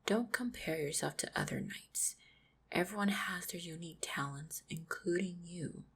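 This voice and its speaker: A low-voiced orc woman, played by a woman, husky and often dropping close to a whisper. She is a loving, supportive fiancée in a fantasy roleplay audio. Her delivery is tender and reassuring, with some gentle teasing and a creaky edge. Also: normal speed, full character voice. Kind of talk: intimate roleplay audio acting.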